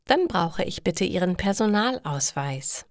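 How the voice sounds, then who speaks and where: A woman voicing a polite, helpful female bank clerk in slow, formal storybook dialogue. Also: on the low side, sing-song, no echo, clean studio sound.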